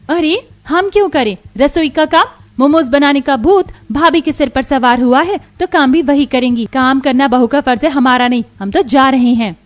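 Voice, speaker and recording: महिला की आवाज़ में ताने मारती ऊँची आवाज़ वाली ननद. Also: female voice, sits high, moderate pace, few pauses, lightly acted. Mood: defiant. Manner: taunting. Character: mocking, lazy, sharp-tongued young sister-in-law. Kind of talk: Hindi cartoon story acting.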